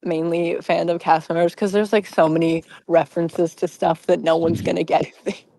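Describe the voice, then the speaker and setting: Woman, lower pitch, dry recording, informal group podcast talk. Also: level tone, moderate pace, creaky, dark tone, dry recording, low-quality recording. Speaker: podcast member. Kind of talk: casual group chat.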